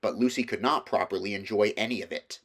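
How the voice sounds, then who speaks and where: Low-pitched man narrating a storybook. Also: quick pace, fairly bright tone. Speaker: audiobook reader.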